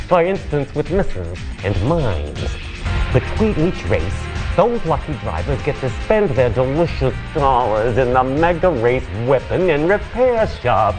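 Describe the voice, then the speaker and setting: A slick, sadistic host of a futuristic game show in a video game. His mid-pitched voice swings widely with theatrical, mock-cheerful relish. Music plays clearly behind him. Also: poor audio. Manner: showy, mock-cheerful, sardonic. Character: smarmy, gleefully sadistic male futuristic TV race show host.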